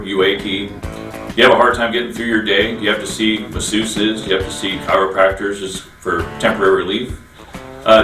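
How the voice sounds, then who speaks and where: A man's voice: fairly low pitch, fairly bright tone. A man hosting a local business interview show, friendly and rambling with many fillers, recorded from a distance in a roomy space. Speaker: interview host.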